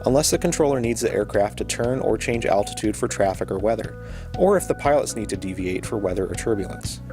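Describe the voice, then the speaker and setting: A man with a fairly low voice delivers scripted educational narration. He explains in a measured, orderly way, with music playing clearly behind him. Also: animated.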